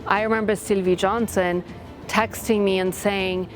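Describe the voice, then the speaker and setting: A woman's voice: medium pitch, steady tone, measured pace. A woman from Latvia, a school parent and board member, giving a candid testimonial with frank, self-deprecating humor and open feeling. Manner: heartfelt, open about feelings. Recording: music bed under the voice.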